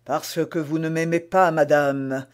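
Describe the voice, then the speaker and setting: A man's voice: a little high, fairly even intonation, a little slow, smooth, close to an everyday voice. A man reading aloud as an ardent, lovestruck nobleman who speaks fervently and fatalistically. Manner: fervent, declamatory.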